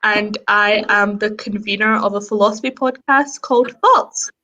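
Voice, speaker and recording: A woman's voice: mid-range voice, normal speed, bright, forceful tone, low-quality recording. A young woman, an undergraduate student, answering Q&A questions in an eager, chatty way. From Glasgow, Scotland. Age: young adult. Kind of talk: casual Q&A answers.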